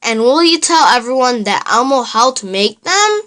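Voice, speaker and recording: A woman puts on a small, cheerful monster child for a storybook. The voice is high and bright, with an eager, polite bounce in its pitch. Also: sing-song, a little slow.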